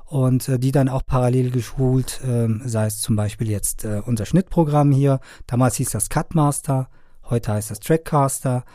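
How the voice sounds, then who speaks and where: A middle-aged man from Afghanistan, a sound engineer and media trainer, answering interview questions in a halting way with many fillers and repeated words. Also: mid-range voice, warm tone, no room sound, studio quality.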